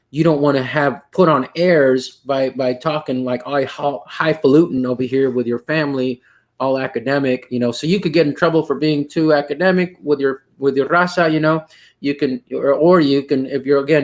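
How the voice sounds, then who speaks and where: A man in his thirties with a South Texas accent, hosting a video-call panel and talking in rambling, self-correcting turns with Spanish words mixed in. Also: mid-range voice. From Rio Grande Valley, South Texas. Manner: rambling, many restarts, mixes Spanish words. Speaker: panel host. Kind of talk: casual panel discussion. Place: home room. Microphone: headset on video call.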